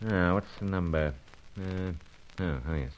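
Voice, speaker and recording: An actor playing a suave, resolute British secret agent with a clipped, measured delivery in an old radio serial. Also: male voice, sits low. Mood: serious, businesslike. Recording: noisy old radio recording with clicks.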